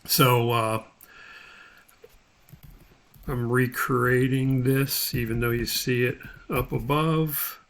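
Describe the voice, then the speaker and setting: A man giving an unscripted step-by-step software tutorial, talking haltingly as he thinks aloud while he works. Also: mid-range voice, vocal fry.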